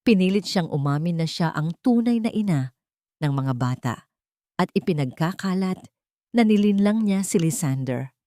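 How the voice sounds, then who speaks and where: A woman's voice: on the low side, lively intonation, moderate pace, mellow, no room sound, clean studio sound. A woman narrating an audiobook with dramatic, scripted delivery.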